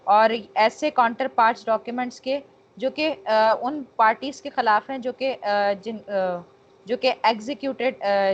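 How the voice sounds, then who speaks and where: A woman with a Pakistani accent teaching law in an online lecture, explaining with English terms mixed in and checking that students follow. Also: a little high. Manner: checks understanding, frequent tag phrases. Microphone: online call. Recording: dull, noisy online call audio.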